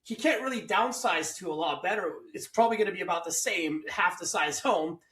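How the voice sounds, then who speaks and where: A man hosts a casual interview and shares his views at length. His voice is bright and forceful. The room around him is echoey. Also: high voice, a little fast, recorded from a distance, a little hiss or hum. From Hungary. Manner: opinionated, talks at length. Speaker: host.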